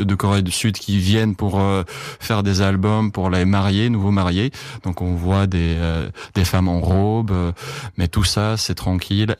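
A man's voice: low voice, darkish tone, no echo. A Czech man who works as a journalist, speaking with a Czech accent in an interview, often repeating himself as he searches for words. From Czech Republic, Prague. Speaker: journalist, foreign correspondent being interviewed. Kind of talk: casual interview answers, recounting memories.

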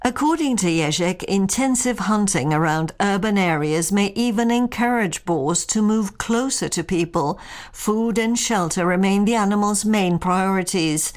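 A woman reporter reading a scripted radio news piece in a steady, clear way. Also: medium pitch, moderate pace.

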